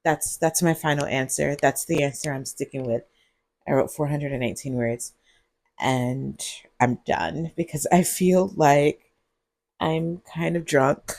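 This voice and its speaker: Woman vlogger, husky and gravelly, groggy from cold medicine, rambling, dry close sound from earbud mic. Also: deep voice, a little slow, a little mellow, no room sound. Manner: rambling, giggly and drowsy. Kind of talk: casual vlog monologue.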